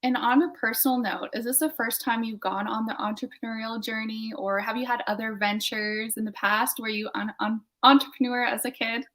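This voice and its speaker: A woman in her thirties hosting an interview on a video call from home, posing questions in a measured way. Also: on the high side, fairly even intonation, a bit bright. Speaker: host. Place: home room.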